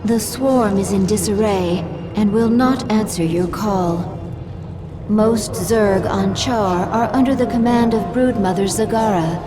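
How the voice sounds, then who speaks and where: An actress playing an obedient, solemn alien servant in a sci-fi video game, speaking formally and with measured deference. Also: female voice, medium pitch, close to an everyday voice. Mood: reverent, grave. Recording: echoey, music behind.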